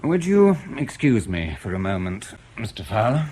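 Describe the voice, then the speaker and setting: Low-voiced, dryly sceptical investigator. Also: male voice, big pitch swings, moderate pace, a little mellow, a little hiss or hum. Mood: polite. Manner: formal, precise, dryly polite. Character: probing, sceptical male intelligence investigator, measured and dry. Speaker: interrogator in a spy drama. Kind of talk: radio drama acting.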